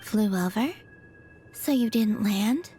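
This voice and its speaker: An actress playing a serene, quietly curious young moon maiden in a video game, with a soft, calm, unhurried delivery. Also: female voice, medium pitch, close to an everyday voice. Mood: caring, curious. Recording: music behind the voice.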